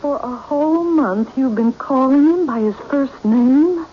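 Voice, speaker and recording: A woman's voice: high voice, unhurried. An actress playing a protective, suspicious middle-aged mother who works as a cook and scolds in an old radio drama. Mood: disapproving. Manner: scolding, hesitant when broaching delicate warnings. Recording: dull, noisy vintage radio drama recording.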